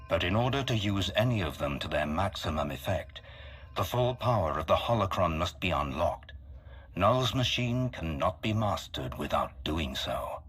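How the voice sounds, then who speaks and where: A man voicing a solemn, calculating mastermind in a video game, speaking formally and deliberately with a husky voice. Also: deep voice, animated, fairly bright tone, full character voice. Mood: persuasive, intense. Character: solemn, calculating male mastermind with grand galactic ambitions. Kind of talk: dramatic video game acting.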